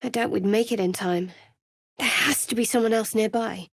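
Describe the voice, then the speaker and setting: Guarded young shadow cleric woman, wry and measured, game dialogue, bit high, close dry sound. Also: steady tone, no echo. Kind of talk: video game voice acting.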